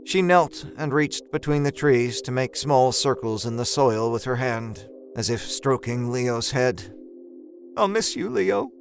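A man reading a first-person horror story as a storyteller, in a measured, expressive and dramatic way. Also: medium pitch, faint music behind. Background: musical score.

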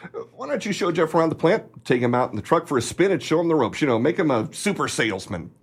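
An actor playing a gruff, evasive, blustering manager of an ice cream company in a radio play. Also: male voice, on the high side, sing-song, fast, full character voice. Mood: pushy, breezy.

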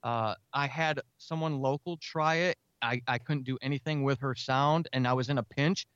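A talkative, energetic man speaking casually as a guest in an interview about his creative work. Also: mid-range voice, moderate pace, clean tone, dry recording, dull audio. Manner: energetic, talkative, self-described extrovert. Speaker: audio drama writer and podcaster, interview guest.

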